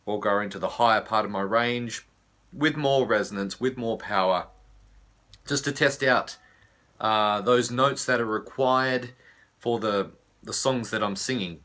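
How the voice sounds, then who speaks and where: Husky Australian man with laryngitis. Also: lowish voice, measured pace. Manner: relaxed, frequent filler "uh". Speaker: vocal coach. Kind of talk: teaching video.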